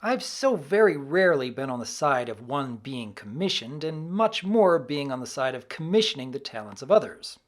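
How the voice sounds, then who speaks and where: A guest commentator giving scripted review commentary in formal, measured wording. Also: medium pitch, big pitch swings.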